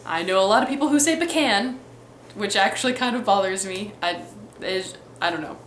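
A woman's voice: medium pitch, expressive, fairly quick, fairly bright tone. Young woman, light Midwestern accent, rambling vlog chat, webcam in a roomy bedroom, faint ambient hiss. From Texas and Missouri, US. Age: young adult. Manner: chatty, many ums and restarts. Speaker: vlogger. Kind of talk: casual accent tag vlog. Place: bedroom, indoors.

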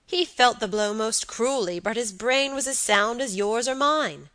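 A woman voicing a grave, reproachful young gentleman in a novel, loyal and somewhat contemptuous, with emphatic delivery. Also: mid-range voice, moderate pace, no room sound, natural voice.

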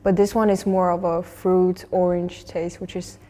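Student panelist from Sweden, female, early twenties: soft dark timbre, somewhat low pitch. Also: level tone, normal speed, long reverb tail. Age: early 20s. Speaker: student guest. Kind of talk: casual panel chat. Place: large indoor cafe-like room. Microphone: lapel.

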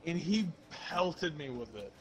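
A young man casually telling stories to friends while gaming, slangy and animated as he acts out the dialogue. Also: fairly high pitch, slow pace, rough recording. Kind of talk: casual storytelling among friends during online game.

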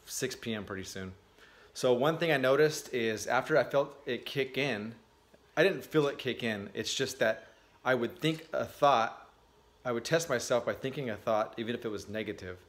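A man in his thirties talking casually and candidly to a phone camera in his garage, rambling with lots of fillers and restarts. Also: mid-range voice. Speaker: vlogger. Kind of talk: casual vlog. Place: cluttered garage, indoors. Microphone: phone at arm's length.

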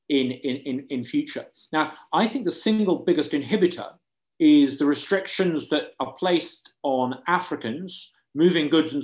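A middle-aged man from Uganda making persuasive panel remarks over a video call, earnest and emphatic, repeating words for stress. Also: on the high side. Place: indoor room, video call. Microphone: video call microphone.

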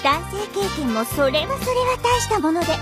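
A woman's voice: very high-pitched, sing-song, normal speed, loud music behind the voice. A woman voicing a cheerful, self-admiring schoolgirl who narrates a cartoon, playful and gushing. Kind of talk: anime narration.

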